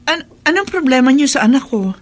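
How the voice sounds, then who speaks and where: An actress with a Filipino accent playing a worried, hardworking mother of a teenager in a radio drama, gentle and placating. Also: high voice, very animated, a little fast.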